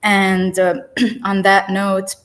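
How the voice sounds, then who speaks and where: A woman from Lebanon, a podcast guest speaking calmly and reflectively over a video call. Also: mid-range voice, very slow. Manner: calm, reflective, grateful. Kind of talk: live podcast conversation.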